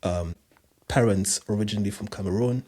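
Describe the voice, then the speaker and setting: A man's voice: on the low side, brisk, warm tone. A man in his thirties with a French accent, a personal trainer talking easily as a podcast guest with many restarts and fillers. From France and other countries. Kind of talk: casual podcast interview.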